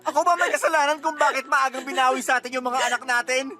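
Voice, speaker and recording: A man's voice: very high voice, little pitch movement, quick pace, bright, forceful tone, soft background music. A man with a Filipino accent playing a grieving, blaming husband and father in an emotional radio drama. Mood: angry, accusing. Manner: anguished, accusing, emotional outbursts.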